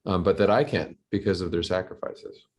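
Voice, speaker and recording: A man in his thirties, a film director and physician, giving articulate, measured answers as an interview guest. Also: sits low.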